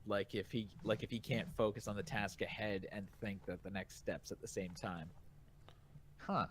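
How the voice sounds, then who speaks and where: A man with a fairly low voice co-hosts a casual podcast. He reads listener comments aloud in a halting way, often rephrasing, with faint noise in the background. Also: fairly even intonation. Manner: halting reading, rephrasing as he goes. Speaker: podcast co-host reviewing manga.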